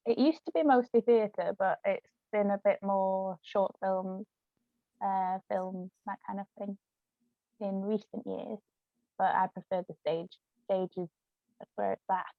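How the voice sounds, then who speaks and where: A woman's voice: medium pitch, fairly even intonation, unhurried, vocal fry, no echo. A woman guest chatting casually and telling anecdotes about her own work. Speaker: guest, actress and author. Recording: thin phone-like audio, dry.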